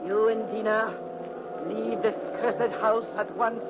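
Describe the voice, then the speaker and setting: A performer playing a vengeful undead witch woman with slow, menacing declamation in a 1950s radio horror drama. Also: medium pitch, level tone, natural voice. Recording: very noisy, muffled 1950s radio recording.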